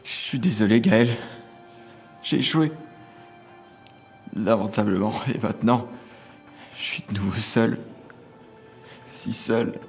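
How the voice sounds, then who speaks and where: A low male voice from an actor gives us a brooding, lonely villain bent on revenge. The delivery is theatrical and literary, in the manner of an audio drama monologue, with faint music underneath. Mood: desolate. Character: vengeful, lonely imprisoned villain, self-styled son of death.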